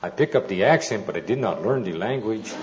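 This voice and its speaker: A man giving an informal sermon with anecdotes, telling them deliberately with pauses and dry humour. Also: lowish voice, expressive, heavy background noise. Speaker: preacher, Bible teacher. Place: speaking live to an audience. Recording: dull, muffled lo-fi recording.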